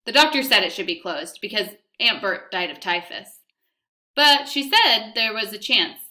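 Woman doing a calm sly mom, steady then fake-sweet, bright voice. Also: medium pitch, normal speed, pitched above the performer's own voice. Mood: mock-sweet. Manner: calm, then falsely cheerful. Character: calm quick-witted mother, mock-sweet.

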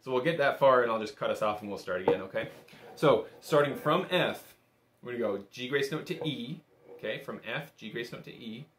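A man's voice: mid-range voice, brisk, a bit bright, a little hiss or hum. Casual male music instructor, roomy sound. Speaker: bagpipe instructor. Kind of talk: casual step-by-step music lesson. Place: small indoor home workroom. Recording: roomy, echoing small-room sound.

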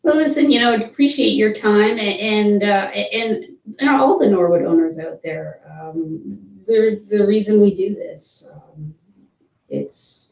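A woman's voice: medium pitch, darkish tone. Woman heard from far in a roomy office. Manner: upbeat, hesitant with many fillers. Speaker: sawmill company representative. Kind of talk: video call interview. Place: office room, seated with a colleague. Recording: roomy, distant, dull video call audio.

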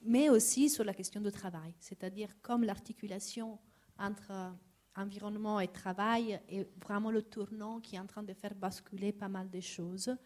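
A woman's voice: medium pitch, fairly even intonation, moderate pace, darkish tone. An Italian woman with an Italian accent puts a long question at an academic panel, searching for words and repeating them as she goes. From Italy. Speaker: audience member asking a question. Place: lecture hall at a public panel.

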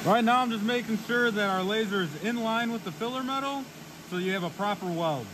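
A man's voice: high-pitched, fairly quick, noisy background. A welder in a workshop, a man giving plain, practical explanations of his settings and technique. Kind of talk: instructional shop talk. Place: indoor welding workshop.

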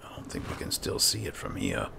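A man's voice: brisk, background noise. A man giving casual gameplay commentary, muttering to himself as he thinks aloud. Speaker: gamer narrating a playthrough.

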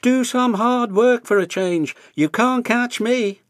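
A man voicing a cheeky, fussy little male tank engine in a high, squeaky voice. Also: slow pace, no echo, clean studio sound. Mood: taunting.

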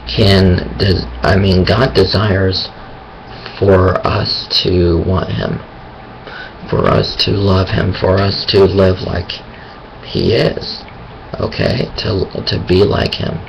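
A man talking about his faith in a hesitant, thinking-aloud way, with a raspy voice and many pauses and restarts. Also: low-pitched, slight background noise, poor audio. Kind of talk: casual monologue on faith.

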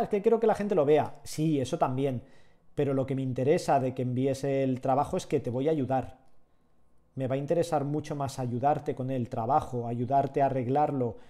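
Relaxed man teaching live. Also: medium pitch. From Catalonia, Spain. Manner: relaxed, chatty, answers chat while working. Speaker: 3D sculpting instructor. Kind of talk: live-streamed tutorial, chatting with viewers. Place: small room at home.

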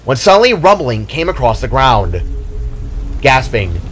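A man's voice: medium pitch, very animated, measured pace, faint music behind. A man reading his fantasy story aloud as a dramatic scripted narration. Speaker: author narrating own story.

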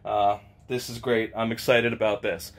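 Excited man in a home garage. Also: fairly low pitch, moderate pace. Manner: excited, upbeat, chatty with laughs. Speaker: channel host. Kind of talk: casual car-parts vlog. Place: home garage workshop, indoors. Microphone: handheld camera at arm's length.

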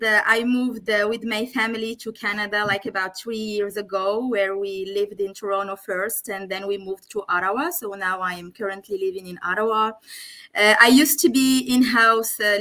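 A woman in her thirties from Morocco, a guest on a video call, speaking as a with hesitant pauses and restarts. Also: medium pitch, fairly even intonation, fairly bright tone, rough recording. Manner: hesitant, many fillers and restarts. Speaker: guest, legal operations specialist. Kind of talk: casual video-call interview. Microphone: video call, laptop camera.

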